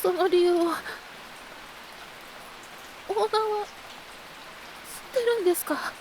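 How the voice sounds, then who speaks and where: A woman voicing a timid, innocent young man in a Japanese audio drama, soft-spoken, hesitant and stammering. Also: extremely high pitch, unhurried, noisy background. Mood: anxious, downcast.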